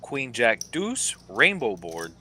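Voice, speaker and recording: Guy doing laid-back poker play-by-play, crisp bright voice, faint room hum. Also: mid-range voice, huge pitch swings, slow pace. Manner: easygoing play-by-play banter. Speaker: poker commentator. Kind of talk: live poker commentary.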